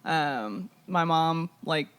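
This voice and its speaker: Young woman, casual podcast guest, laughing, lively, swears freely. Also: deep voice, drawn-out words, clear, resonant tone. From Austin, Texas.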